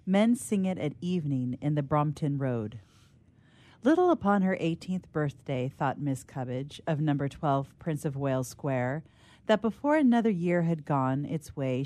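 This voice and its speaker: Deep, mellow woman storyteller. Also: expressive, normal speed. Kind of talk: literary story read aloud.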